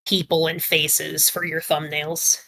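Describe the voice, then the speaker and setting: A woman with a fairly low but bright, forward voice talks on a podcast panel. She lays out her opinions in a casual, explanatory way. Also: little pitch movement, slow pace, gravelly, slight background noise.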